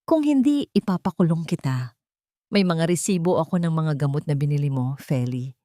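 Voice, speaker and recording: A woman's voice: low-pitched, expressive, normal speed, warm tone, no room sound, studio quality. Woman acting out a cruel, domineering rich matriarch. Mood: threatening, accusing. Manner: commanding, cold, menacing.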